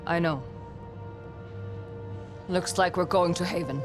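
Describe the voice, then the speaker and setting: A woman's voice: on the low side, takes it slowly, music in the background. An actress playing a guarded, determined young woman adventurer in a fantasy video game, terse and matter-of-fact. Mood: uncertain. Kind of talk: dramatic video game acting.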